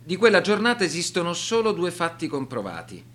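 A man narrates a documentary radio drama from a script. His slightly high voice is serious and measured, with strongly varied pitch that rises and falls through each phrase. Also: very animated, a bit bright.

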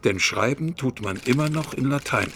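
An actor playing a medieval man who recounts his past in a German radio play, in a measured, explanatory way. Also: mid-range voice, animated, over music, lightly acted. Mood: matter-of-fact. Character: medieval man recounting how he first wrote in his dialect.

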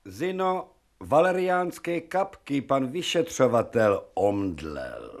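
Commanding medical professor, dryly ironic, very lively intonation, theatrical radio drama delivery. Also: male voice, mid-range voice. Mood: dry, authoritative. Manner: lecturing, declamatory. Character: authoritative, ironic professor of medicine, a worldly scientist.

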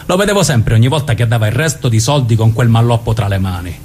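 Male actor, mid-pitched, southern Italian accent: protective, bossy elder brother from a crime family, radio drama, faint ambient noise. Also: animated, fast talker, darkish tone, close to an everyday voice. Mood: teasing, affectionate. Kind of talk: naturalistic radio drama dialogue.

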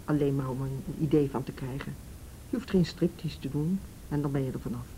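A woman playing a calm, middle-aged counsellor in a radio drama, patient, direct and matter-of-fact. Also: very deep voice, a little fast, slight background noise, rough recording. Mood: patient, firm. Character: calm, firm female counsellor questioning a girl. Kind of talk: naturalistic radio drama acting.

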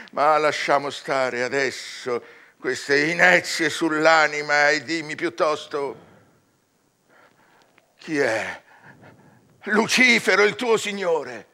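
An actor playing a proud scholar turned necromancer, commanding and questioning in a grandiose theatrical verse style for radio drama. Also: male voice, a little high, sharp tone, exaggerated voice. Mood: boastful, defiant.

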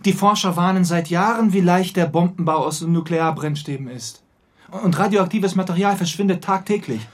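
A high-pitched, husky investigator in a radio crime drama. He is officious and suspicious, pressing his points in formal, demanding phrases. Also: normal speed. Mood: insistent.

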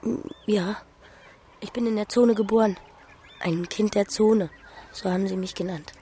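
Enigmatic village woman for radio drama, acted by a woman; mid-pitched, dreamy, teasing, faint ambient noise. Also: steady tone, moderate pace, warm tone, lightly acted. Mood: earnest, mysterious. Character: playful, enigmatic village woman born in the zone. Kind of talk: naturalistic radio drama acting.

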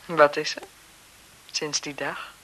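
Languid, bright-voiced aristocratic lady. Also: deep voice, little pitch movement, slow pace, full character voice, rough recording. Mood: coquettish. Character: bored, languid aristocratic lady, coquettish and impatient. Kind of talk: 1970s Dutch radio drama acting. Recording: hissy 1970s radio play recording.

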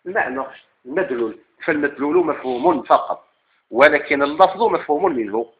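Fast-talking Moroccan teacher lecturing a class. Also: male voice, on the high side, lively intonation, narrow, tinny audio. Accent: Moroccan Arabic. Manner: quizzes students with repeated questions and answers. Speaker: teacher of Islamic legal theory.

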